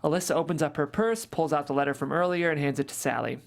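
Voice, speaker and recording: A reader calmly reading stage directions at a script table read. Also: medium pitch, quick pace, gravelly.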